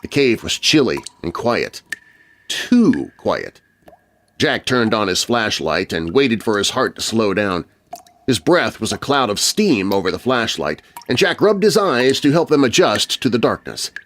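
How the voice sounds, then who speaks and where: A man's voice: lowish voice, big pitch swings, measured pace, fairly bright tone. A man narrating scripted scary stories for children in a suspenseful, theatrical manner. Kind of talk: dramatic scripted spooky storytelling for children. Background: music bed.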